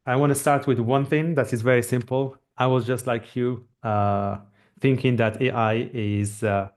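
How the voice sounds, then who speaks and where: A man's voice: medium pitch, a little mellow. A man from Morocco hosting a live online webinar, speaking in a friendly, encouraging way with frequent fillers and restarts, through a computer mic. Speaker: webinar host and AI trainer.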